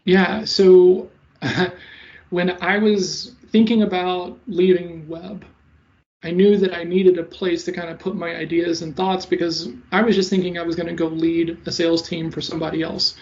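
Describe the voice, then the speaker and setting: Thirties guy, sales coach, fast talker w a slight stutter, highish flat gravelly voice, kinda dull audio. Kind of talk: casual interview answers.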